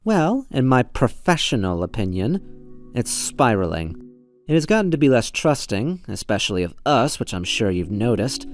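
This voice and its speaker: An actor playing a smug, quietly sadistic schemer in an audio drama, a man with a drawling, insinuating manner. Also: medium pitch, big pitch swings, moderate pace, faint music behind, natural voice. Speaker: doctor's colleague in an audio drama.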